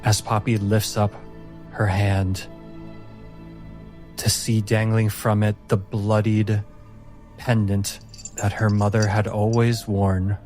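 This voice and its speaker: A man narrating a tabletop game as game master, telling the story dramatically with deliberate, suspenseful pauses. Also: fairly low pitch, flat delivery, darkish tone. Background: music.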